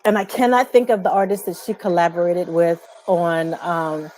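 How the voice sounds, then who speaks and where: A woman's voice: fairly low pitch, steady tone, normal speed, a little mellow, slight background noise, rough recording. Female co-host for an unscripted music chat show; enthusiastic, slangy, emphatic delivery.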